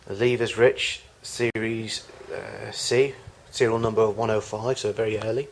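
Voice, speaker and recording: A man's voice: lowish voice, steady tone, measured pace, gravelly, a little hiss or hum, poor audio. A man giving an unscripted tour of his collection in a home video, recorded in a garage on amateur camcorder audio.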